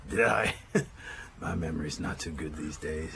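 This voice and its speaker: An actor playing a forgetful, apologetic old retired detective, halting and gravelly, in a radio drama. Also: male voice, rumbling low, a bit bright. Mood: apologetic, hesitant. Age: older. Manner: halting, hesitant. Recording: noisy ambient background.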